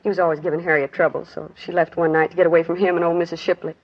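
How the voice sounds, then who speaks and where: A woman's voice: on the low side, little pitch movement, very fast, natural voice. Actress as weary woman in a dull vintage radio drama. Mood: sorrowful. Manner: plain clipped sentences, colloquial grammar. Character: weary working-class woman, grieving witness telling a friend's tragedy. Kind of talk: 1950s radio drama acting. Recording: dull 1950s radio recording.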